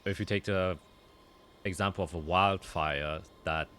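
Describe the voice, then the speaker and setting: A man narrating a tabletop roleplaying game as game master, explaining in a halting way and often rephrasing. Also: deep voice, steady tone, bright, forceful tone, clear, resonant tone.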